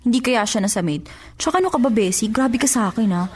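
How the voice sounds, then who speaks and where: A woman's voice: fairly high pitch, animated, fast, a little mellow, close to an everyday voice. An actress playing a lovestruck, playful young office woman in a radio drama, coy and girlish, with a Filipino accent.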